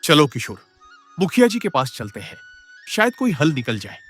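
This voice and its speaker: Animated, worried village farmer, a man acting. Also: a little high, moderate pace, faint music behind. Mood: concerned. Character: worried, earnest village farmer, a concerned neighbour. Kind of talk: dramatized moral story acting.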